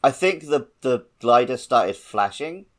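A man's voice: mid-range voice, expressive, unhurried. A man co-hosting a gaming podcast in casual conversation. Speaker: podcast co-host.

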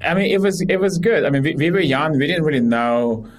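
A man in his thirties from Ukraine, a startup CEO guest telling his story in a relaxed, rambling way with many fillers. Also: on the high side, fairly quick. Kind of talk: casual interview talk.

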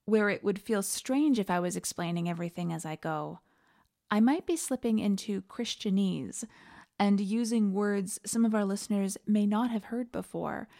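A woman's voice: medium pitch, creaky, darkish tone. A woman hosting a podcast, reading a scripted introduction. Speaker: podcast host.

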